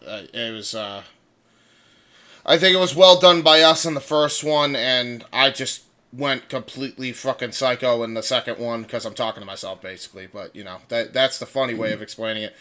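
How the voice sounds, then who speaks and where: A man's voice: medium pitch, moderate pace, sharp tone. A man chatting casually on a livestream as a voice actor and co-host, informal and prone to swearing.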